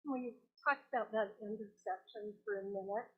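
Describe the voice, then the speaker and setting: A woman's voice: mid-range voice, moderate pace, husky. A woman commissioner questioning staff at a public meeting in a careful, probing manner. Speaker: planning commissioner. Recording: thin phone-like meeting audio.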